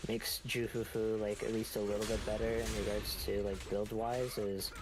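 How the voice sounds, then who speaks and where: A man's voice: lowish voice, level tone, moderate pace, background noise. A man in a casual gaming chat, explaining things in a hesitant way. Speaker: co-commentator giving game advice.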